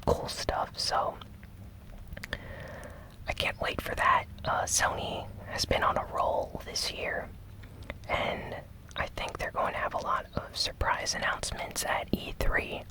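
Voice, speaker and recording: An ASMR host recapping news entirely in a breathy, unvoiced whisper, with gentle, measured phrasing and short pauses. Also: low-quality recording. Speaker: host of a gaming news show.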